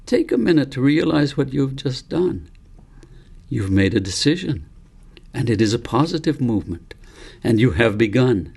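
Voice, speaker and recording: A man reading as a gravelly, wise elephant mentor. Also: medium pitch, very animated, a little mellow, full character voice. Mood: encouraging. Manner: firm, instructive, deliberate. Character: wise, adamant male elephant mentor, encouraging and earnest. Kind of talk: storybook character read by the author.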